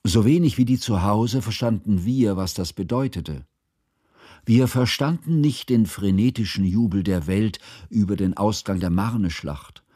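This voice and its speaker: A man acting in a radio drama as a grave, reflective narrator recalling a war in formal, measured literary language. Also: on the low side, dark tone, slightly rough, no echo, studio quality. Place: studio.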